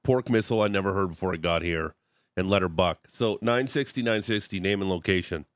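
Chatty low-voiced radio host with Ontario accent. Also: male voice, fairly quick, dry recording, studio quality. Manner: chatty, self-mocking. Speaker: radio sports show host. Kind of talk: casual radio banter. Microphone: studio mic.

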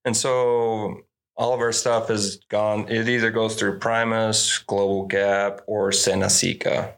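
Gravelly-voiced man in his thirties. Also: fairly low pitch, little pitch movement, takes it slowly, fairly bright tone. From Mexico, raised in New Mexico. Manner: upbeat and matter-of-fact, playful asides. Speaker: farm grower, guest. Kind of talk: casual interview chat.

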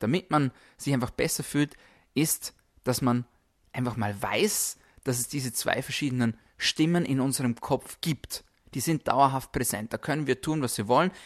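A man delivering a motivational podcast monologue as host, emphatic, with rhetorical repetition. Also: mid-range voice. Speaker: podcast host, health coach.

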